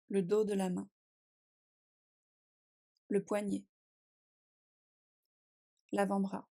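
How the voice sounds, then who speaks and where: A woman guiding a relaxation meditation in a calm, soothing and unhurried manner, speaking in short phrases with long pauses. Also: medium pitch, vocal fry. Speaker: yoga nidra meditation guide. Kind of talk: guided relaxation meditation, scripted.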